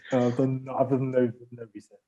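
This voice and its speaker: Mellow man, rambling gamer chat. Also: medium pitch, a little hiss or hum, low-quality recording. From Liverpool, England. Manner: rambling, laughs a lot, self-deprecating. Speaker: podcast panelist. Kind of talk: casual gaming chat.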